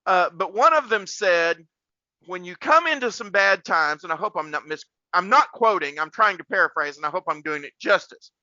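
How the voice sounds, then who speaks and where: A man's voice: sits high, lively intonation, moderate pace, pushed and bright, dry recording, dull audio. A man hosting a casual faith podcast like a preacher, rambling and repeating words for emphasis.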